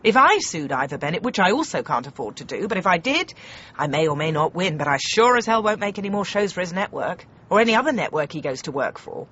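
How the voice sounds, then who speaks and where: An actress playing a brisk, domineering TV comedy producer in a radio comedy drama, emphatic and sardonic. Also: female voice, lowish voice, sing-song, fairly bright tone, breathy edge, lo-fi sound, heavily acted. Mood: cynical, defiant.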